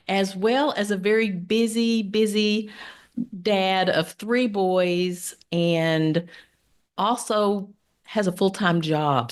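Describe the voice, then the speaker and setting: A woman hosting a podcast, welcoming a guest and asking questions in a casual, earnest way with frequent fillers and restarts. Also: mid-range voice. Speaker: podcast host. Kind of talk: casual interview.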